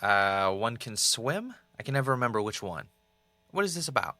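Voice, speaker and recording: A man acting as an anxious, self-doubting podcast host in an audio drama, talking in a halting, confessional way. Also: mid-range voice, sing-song, sharp tone, no room sound. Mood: dry, puzzled. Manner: hesitant, self-questioning, halting.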